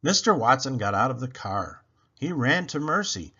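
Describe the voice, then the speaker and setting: A man narrating a children's book aloud in an expressive, dramatic, emphatic style. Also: medium pitch, moderate pace, slightly rough, lo-fi sound. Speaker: storybook reader.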